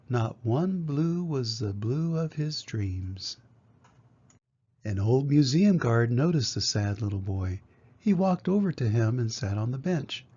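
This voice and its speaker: A man's voice: medium pitch, dark tone, husky. An older man reading a children's picture book aloud as a grandfather, in storybook narration style. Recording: dull lo-fi home recording.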